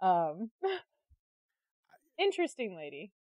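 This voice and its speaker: A woman's voice: medium pitch, slow, no echo. A woman being interviewed, telling stories in a casual, chatty and giggly conversational way. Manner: giggly, chatty, animated storyteller. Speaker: interview guest, creative director and artist.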